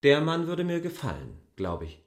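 An actor playing an argumentative, judgmental outsider in a radio drama, reasoning insistently and critically. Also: male voice, on the high side, wildly swooping pitch, moderate pace, no echo, clean studio sound. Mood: dry defiance. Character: argumentative, judgmental male outsider questioning a village family.

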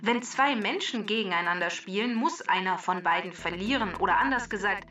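Helle, nüchterne Computer-Datenbank. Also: female voice, mid-range voice, expressive, brisk, bright, forceful tone, distant mic, highs cut off, faint music behind. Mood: dry, smug. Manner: matter-of-fact, explanatory, dry. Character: female talking computer database, matter-of-fact, smugly logical know-it-all. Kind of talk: scripted children's podcast character.

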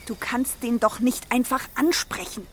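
An actress playing a wary, exasperated middle-aged German wife in naturalistic radio drama dialogue, reproachful and sceptical. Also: female voice, fairly high pitch, unhurried. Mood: alarmed.

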